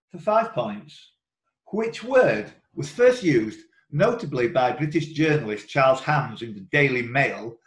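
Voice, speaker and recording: A man hosting a quiz on a group video call, reading questions in a deliberate way with informal banter. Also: mid-range voice. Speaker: quiz host and question setter. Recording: echoey room over video call.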